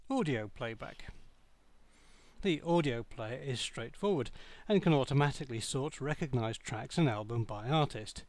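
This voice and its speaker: A man reading a scripted product review as narration, in a measured, matter-of-fact way. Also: medium pitch, lively intonation, a little mellow, dry recording.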